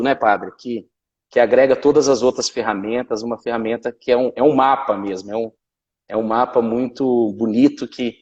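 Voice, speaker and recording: A man hosting a live stream, enthusiastic and a bit halting, with many fillers. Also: mid-range voice, darkish tone. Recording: long reverb.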